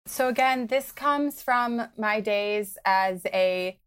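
A woman's voice: fairly high pitch, takes it slowly, clear, resonant tone. A young adult woman from Wisconsin, a podcast guest speaking in an earnest, conversational way and giving advice. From Wisconsin, United States. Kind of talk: conversational interview.

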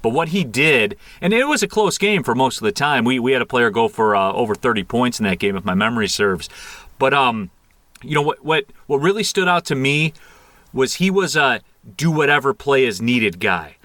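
A man giving animated, conversational sports analysis as a guest, repeating phrases for emphasis. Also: mid-range voice, normal speed, no room sound.

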